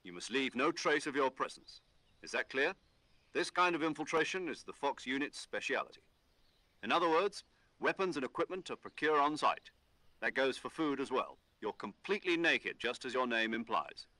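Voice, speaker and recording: A man playing a methodical military mission commander in a video game, speaking with deliberate authority. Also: medium pitch, animated, sharp tone, no echo. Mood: measured, instructive. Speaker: commanding officer giving briefing.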